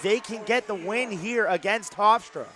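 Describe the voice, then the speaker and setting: A man's voice: high-pitched, unhurried, bright, forceful tone, soft background music. A man giving emphatic color commentary on a live volleyball match. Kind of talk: live sports analysis.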